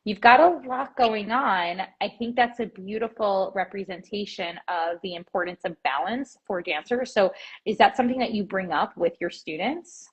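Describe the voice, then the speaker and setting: A woman with a slightly husky, breathy voice hosts a relaxed interview, full of encouraging remarks and little restarts. Her pitch sits in a middle range for a woman. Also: normal speed, dull audio. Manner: warm, affirming, many fillers and restarts. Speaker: podcast host, dietitian. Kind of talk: casual interview chat. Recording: intro over music.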